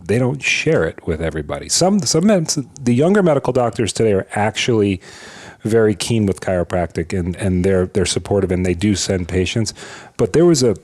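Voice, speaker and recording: A man's voice: lowish voice, lively intonation, a little fast, darkish tone. A man, a chiropractor, explaining health topics as a podcast guest, talking with energy and frequent restarts and repeated words. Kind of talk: conversational interview, explaining.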